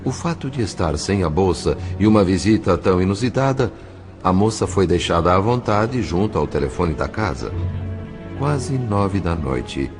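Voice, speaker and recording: A man with a Brazilian accent narrating an audio drama from a script in formal, measured, dramatic phrasing. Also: low voice, expressive. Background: music.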